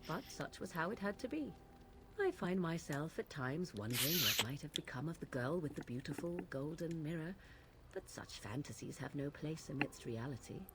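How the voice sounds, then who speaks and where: A low, haughty young witch. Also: animated, moderate pace, heavy background noise. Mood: stern, reflective. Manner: haughty, formal, archaic phrasing. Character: aloof, cynical young witch raised in the wilds. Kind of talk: fantasy video game acting.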